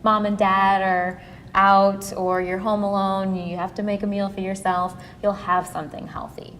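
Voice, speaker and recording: A woman's voice: mid-range voice, level tone, normal speed, fairly bright tone, a little hiss or hum. Instructive woman nutritionist, echoey hall. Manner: clear, instructive. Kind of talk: explanatory interview answers.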